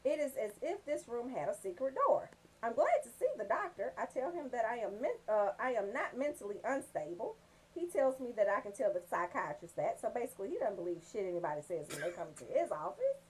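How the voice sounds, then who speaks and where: High pitched american lady, comedy radio host, swoops all over, cusses and rambles folksy. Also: fairly quick, slightly rough, poor audio. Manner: animated, profane, folksy. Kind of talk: comedic radio banter and storytelling.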